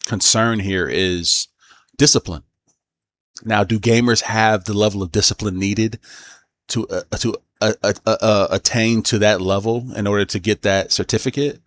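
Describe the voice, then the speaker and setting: Man, low-pitched voice, casual news commentary, hesitant, halting, self-correcting delivery. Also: fairly bright tone.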